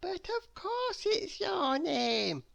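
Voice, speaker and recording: A woman voicing a small, kind, worried little lady in a storybook, speaking high and anxiously. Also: big pitch swings, extremely slow, vocal fry, heavily acted. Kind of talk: storybook character voice.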